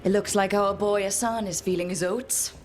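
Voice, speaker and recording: A woman playing a confident young team leader in a video game, calm and wry, with a steady delivery. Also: medium pitch, normal speed, soft background music, natural voice. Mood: wry, amused. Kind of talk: dramatic video game acting.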